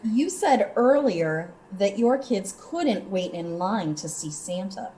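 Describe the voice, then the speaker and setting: Actress as skeptical cop, slow deliberate pace, medium pitch, faint background noise, audio drama. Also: female voice, animated, dark tone, low-quality recording. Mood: probing, doubtful. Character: probing, skeptical female police officer questioning a witness.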